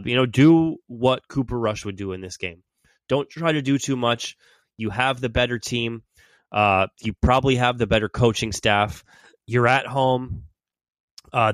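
A man's voice: mid-range voice, fairly bright tone, clear, resonant tone, dry recording. A man hosting a sports podcast while he has a cold, chatting casually and making game predictions as an enthusiastic fan.

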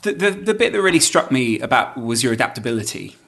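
A man's voice: mid-range voice, big pitch swings, quick pace, a bit bright. A man hosting a podcast and asking his guest short, direct questions. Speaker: podcast host. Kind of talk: interview questions.

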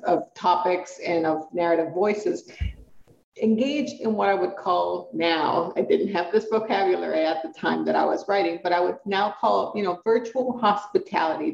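A middle-aged woman from Puerto Rico, a professor answering interview questions in a thoughtful, measured way with pauses and restarts. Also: fairly low pitch, vocal fry, darkish tone, roomy sound, lo-fi sound, a little hiss or hum. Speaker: guest, English professor.

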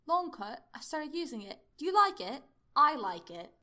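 Excitable female time traveller acted out by a woman, higher voice, affectionate, chatty, musing, audio storybook. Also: normal speed, full character voice.

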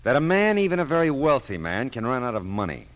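An American actor playing a wry, world-weary but soft-hearted private detective in a vintage radio drama, clipped and slangy. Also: male voice, medium pitch, very animated, quick pace, dry recording, lightly acted. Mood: frank, sympathetic. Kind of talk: 1940s-50s American radio detective drama, first-person narration.

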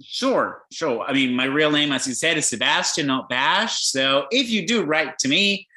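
A man from Peru, a podcast guest, talking about his life in a reflective, hesitant way with many pauses and restarts. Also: a little high, animated, sharp tone, roomy sound. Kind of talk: casual conversation.

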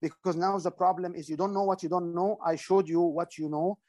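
A man's voice: high voice, fairly even intonation, a little fast, mellow, dry recording, studio quality. A middle-aged man, explaining public speaking in an interview, earnest and instructive, with frequent fillers and restarts. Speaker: guest speaker. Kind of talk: interview answers.

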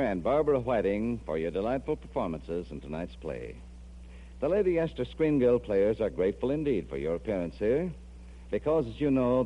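A man's voice: medium pitch, slight background noise. A man hosting a radio show with formal, courteous, emphatic scripted announcing in the 1940s style. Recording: muffled vintage radio broadcast with music.

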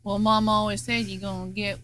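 A woman's voice: medium pitch, little pitch movement, brisk, fairly bright tone, slight background noise. A woman co-hosting a podcast, adding short, laid-back remarks in casual chat. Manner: brief, laid-back interjections. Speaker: podcast co-host.

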